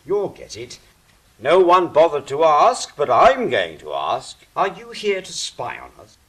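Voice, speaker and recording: A man's voice: fairly high pitch, huge pitch swings, unhurried, a bit bright, husky, full character voice, rough recording. A man playing an earnest, skeptical angel in an audio drama, questioning and chiding.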